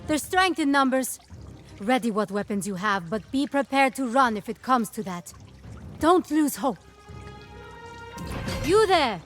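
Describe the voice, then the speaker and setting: An actress playing a bold young camp organizer in a game, rallying people and calling out. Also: female voice, high voice, expressive, a little slow, pauses often, soft background music. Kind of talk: video game cutscene acting.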